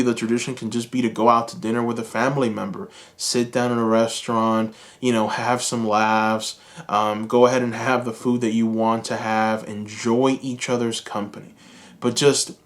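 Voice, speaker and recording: A Cuban American man giving a calm, reassuring tarot reading, speaking slowly and soothingly to his viewers. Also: mid-range voice. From United States. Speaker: tarot reader. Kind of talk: pick-a-card tarot reading.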